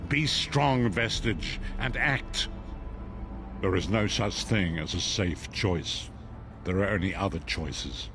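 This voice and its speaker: An actor playing a solemn, remorseful nobleman in a fantasy video game, grave and formal. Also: low-pitched. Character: solemn, remorseful nobleman ready to sacrifice himself.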